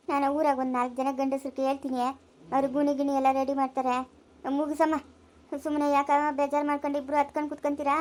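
Casting: woman as practical old village granny, high-pitched, bluntly comforting, rural southern Karnataka Kannada accent. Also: lightly acted. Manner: blunt, fatalistic, consoling. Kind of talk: village drama acting.

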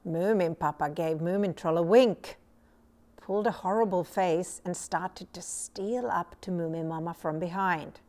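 A middle-aged woman reading a children's story aloud as a storyteller, measured and expressive. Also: fairly low pitch. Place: small furnished room indoors. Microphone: lapel mic.

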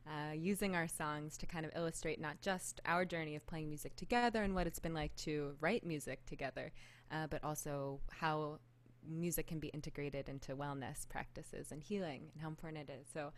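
A woman in her twenties from Alaska, a singer casually introducing a live set into a close mic in a living room. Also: deep voice. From Anchorage, Alaska. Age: 20s. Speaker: guest singer. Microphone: studio mic on a boom arm, close.

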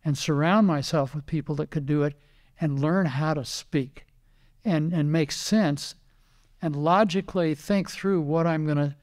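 An older American man speaks as an interview guest, looking back on his career. His voice sits a little high for a man. He talks casually in anecdotes, often playing out bits of remembered dialogue. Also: a little slow, a little mellow. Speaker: retired racing driver and team owner, interview guest.

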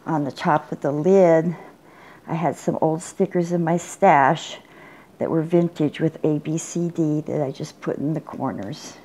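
An older woman showing off a handmade craft project in an unhurried, plain way, with small pauses and restarts. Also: sits low, steady tone, warm tone. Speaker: crafter showing her work. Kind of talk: casual show-and-tell. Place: indoors at a table, bedroom. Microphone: phone camera filming from above.

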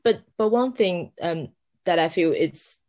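A woman whose first language is Chinese, answering as a guest author in a halting way with many fillers. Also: fairly low pitch, animated, darkish tone. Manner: hesitant, many fillers and restarts.

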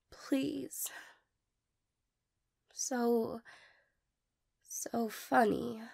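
A young woman playing a shy, insecure, tearful girl in an intimate romantic audio roleplay, hesitant, stammering and apologetic, with a breathy, creaky voice. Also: on the high side, extremely slow, pauses often, in a whisper, full character voice. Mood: flustered, disbelieving.

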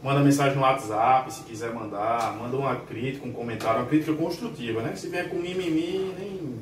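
A man's voice: mid-range voice, brisk, distant mic, a little hiss or hum. Casting: young Northeastern Brazilian man, easygoing slangy home cook, recorded from across a small tiled kitchen with room echo. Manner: relaxed, slangy, recommending. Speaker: husband cooking. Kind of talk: casual home cooking chat. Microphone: camera held a few meters away. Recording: echoey kitchen.

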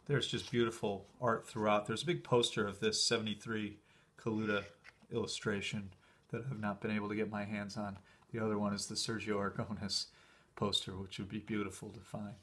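A man talks off camera in a low, slightly husky voice while leafing through an old program indoors. His commentary is relaxed and enthusiastic, with easy fillers and short pauses. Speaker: collector showing a convention program. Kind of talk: casual flip-through commentary.

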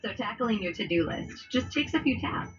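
A woman's voice: medium pitch, animated, normal speed, dull audio, soft background music. Friendly woman voicing a home-services ad. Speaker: ad voice-over. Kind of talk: scripted commercial read.

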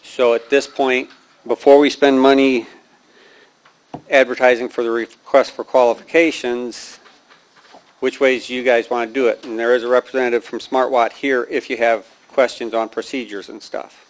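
A man's voice: mid-range voice, level tone, moderate pace, low-quality recording. A man giving a committee report to a county board, plain-spoken and hesitant with many ums, in a large meeting room.

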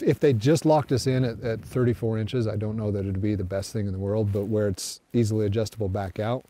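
A middle-aged man sitting on a snowmobile in the snow, giving a casual review to the camera in a relaxed, halting way with many restarts. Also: on the low side, slight background noise. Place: outdoors on snowy flat by trees. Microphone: camera framed from a distance, seated on a snowmobile.

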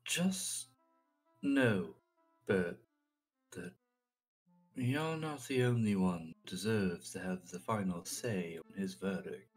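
A man's voice: fairly low pitch, takes it slowly, long pauses, soft background music, rough recording. A man playing a troubled, guarded, solemn adventurer in an improvised fantasy audio drama, speaking hesitantly and formally. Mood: solemn, firm.

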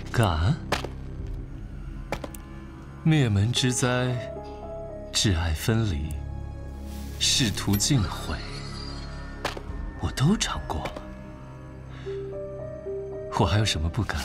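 A menacing scheming young lord. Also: male voice, on the low side, very animated, very slow, pauses often, full character voice. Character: young male lord turned ruthless scheming eunuch commander. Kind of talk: audio drama acting. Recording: clear background music.

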